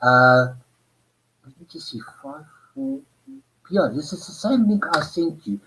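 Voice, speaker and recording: A man's voice: on the high side, big pitch swings, unhurried, background noise. Hesitant man hosting a live stream. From South Africa. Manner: hesitant, many fillers, thinking aloud. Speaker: livestream host. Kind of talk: casual chat while troubleshooting.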